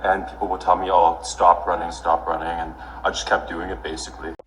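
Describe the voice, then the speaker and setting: A young man with a very deep voice chats loosely as a contestant, throwing in "like" all the time. The archive TV recording is roomy, with faint background noise. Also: flat delivery, fairly quick. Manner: casual, many "like" fillers. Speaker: model contestant.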